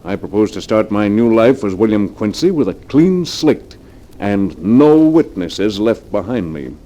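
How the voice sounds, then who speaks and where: An actor in an old-time radio drama playing a ruthless, scheming rich businessman, smooth and coolly matter-of-fact. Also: fairly low pitch, big pitch swings, unhurried, dark tone. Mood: coldly menacing.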